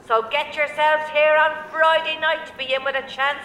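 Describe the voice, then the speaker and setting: An actress in an audio drama playing a showy bingo caller, projecting announcements in an echoey hall. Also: female voice, sits high, little pitch movement, moderate pace, pushed and bright, a little hiss or hum. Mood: showy, upbeat.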